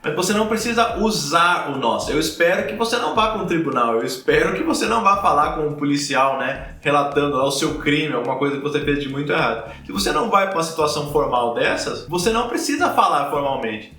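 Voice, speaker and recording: A man's voice: on the high side, animated, quick pace, few pauses, bright, forceful tone. Chatty Brazilian man teaching in an echoey room. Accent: Brazilian Portuguese. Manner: chatty, repeats words, checks in with tag questions. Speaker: language teacher. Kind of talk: casual explanatory lesson to learners.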